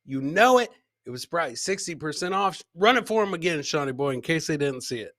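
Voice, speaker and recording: A man's voice: fairly high pitch, animated, a little fast, a bit bright, no echo. A middle-aged man hosting a comedy livestream, bantering casually and chummily with slangy, repeated phrases into a close mic. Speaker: comedian and live podcast host. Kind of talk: casual livestream banter reacting to clips and viewer comments. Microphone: close podcast mic on a boom, with headset.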